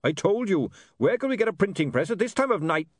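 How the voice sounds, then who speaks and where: Man playing a dwarf printer, shrewd, blunt, grudging, animated intonation, fantasy storybook. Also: sits high, fast, dry recording, studio quality, pitched above the performer's own voice.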